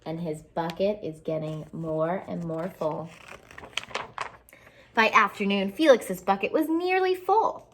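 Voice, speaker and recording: A woman reading a children's picture book aloud expressively, pausing to explain it in simple terms for young listeners. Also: on the low side, lively intonation, fairly bright tone, a little hiss or hum.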